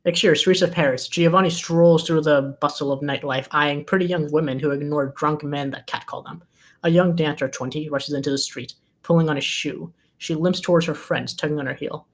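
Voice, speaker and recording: Narrator for a screenplay table read: medium pitch, reads scene headings and action lines as scripted narration. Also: fairly even intonation, brisk. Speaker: narrator reading stage directions.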